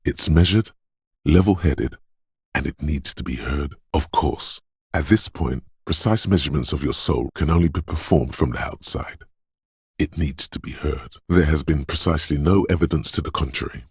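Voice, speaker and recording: A man voicing a brooding, dreamlike inner voice of intuition in a video game, speaking in a slow, hushed, portentous and theatrical way. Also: no echo, clean studio sound, full character voice. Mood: earnest, melancholy. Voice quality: very deep, husky, gravelly whisper-edged rumble. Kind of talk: dramatic video game voice acting. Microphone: close, dry studio microphone.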